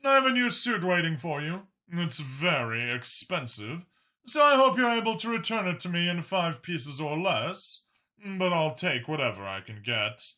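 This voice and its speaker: A man voicing a composed, dryly witty alien ally who gives measured orders in a science fiction audiobook. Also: a little high, big pitch swings, bright, forceful tone.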